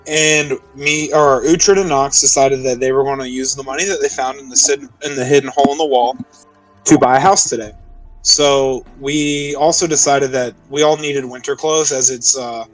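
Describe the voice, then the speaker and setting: A man's voice: mid-range voice, recorded from a distance, low-quality recording. A man playing a tabletop roleplaying game, casually recapping the session and checking details with the others as he goes. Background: music.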